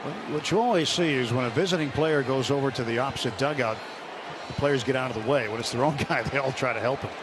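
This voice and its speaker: A man's voice: medium pitch, fast talker. A man doing live baseball play-by-play on radio from a stadium booth, with colorful, folksy turns of phrase. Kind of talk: live sports commentary. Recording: loud ballpark crowd and noise. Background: roaring stadium crowd.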